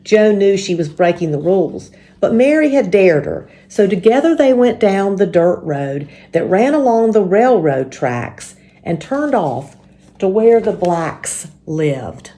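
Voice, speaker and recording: A woman leading a church story time, introducing and reading a children's book aloud in a measured, explanatory way. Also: mid-range voice, sing-song, mellow.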